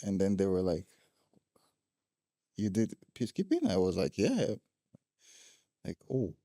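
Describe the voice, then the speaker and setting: A man's voice: low-pitched, wildly swooping pitch, measured pace, mellow, no room sound, studio quality. A young man from Ghana, a guest telling his travel stories in a casual interview, laughing often.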